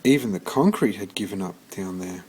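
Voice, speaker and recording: A man reading a children's picture book aloud as scripted narration, expressively and with deliberate pauses. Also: low voice, lively intonation, darkish tone, slightly rough, poor audio. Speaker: storybook reader.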